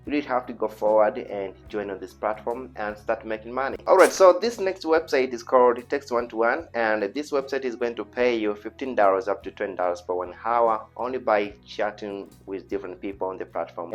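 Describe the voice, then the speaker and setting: Low-voiced Tanzanian man, dull recording over music. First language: Swahili. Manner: earnest, repetitive, persuasive. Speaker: online video host. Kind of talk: informal tutorial voice-over. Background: background music.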